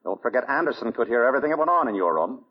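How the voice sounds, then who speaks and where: Muffled old-time radio sound carries an urbane gentleman sleuth. His voice is husky and swings easily in pitch as he questions with dry, wry control, in polished 1940s radio style. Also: sing-song, very fast. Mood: probing, controlled. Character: suave, wry gentleman sleuth running a murder investigation. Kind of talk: 1940s radio drama acting.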